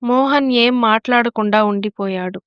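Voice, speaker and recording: A woman narrates a story in a fairly high voice. It is scripted storytelling, recorded dry with no room sound. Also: moderate pace, smooth, no echo, dull audio.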